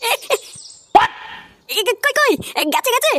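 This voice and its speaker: A woman voicing an excitable, foul-mouthed male fritter seller in a comedy cartoon, with repetitive, crude patter. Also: extremely high pitch, slow pace, no room sound, exaggerated voice. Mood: startled. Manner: repetitive hawking, crude banter.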